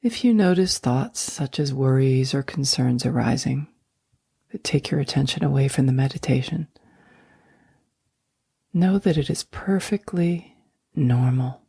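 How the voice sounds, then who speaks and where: A woman guiding a sleep meditation in a soft, breathy near-whisper, speaking slowly and gently with long, soothing pauses. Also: very deep voice, animated, dry recording. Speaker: meditation guide.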